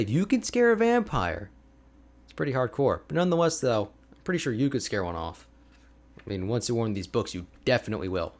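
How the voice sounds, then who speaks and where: A man playing an energetic, friendly young ice mage mentor in an ASMR roleplay, talking to the listener in an animated, informal, chatty way. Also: mid-range voice. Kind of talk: ASMR roleplay audio drama, addressing the listener.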